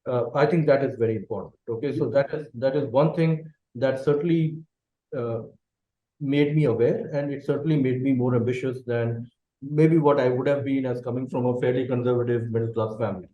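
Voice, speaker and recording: A middle-aged Indian man whose first language is Bengali, a company managing director answering interview questions thoughtfully and often checking in with a quick "okay". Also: medium pitch, steady tone, roomy sound, dull audio. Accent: Indian English.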